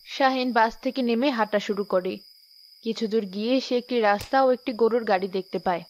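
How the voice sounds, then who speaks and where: Fairly high-voiced woman narrating a story. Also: a little fast, slight background noise.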